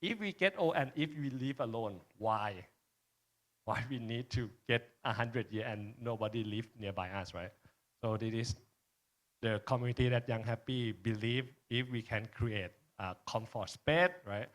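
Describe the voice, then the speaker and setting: A man from Thailand with a Thai accent, a native Thai speaker, presenting informally at a conference in halting phrases with frequent checking tags. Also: mid-range voice, fairly bright tone. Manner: halting, frequent tag questions "right?". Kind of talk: informal presentation and panel answer.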